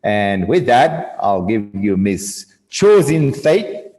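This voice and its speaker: A man's voice: lowish voice, very animated, slow, a little mellow. A man hosting a live cooking competition, introducing contestants with upbeat, emphatic announcements. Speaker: host. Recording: long hall reverb.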